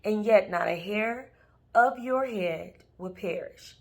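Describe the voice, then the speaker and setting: A woman reading scripture aloud in a measured, deliberate way, pausing between phrases. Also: medium pitch, vocal fry. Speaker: scripture reader.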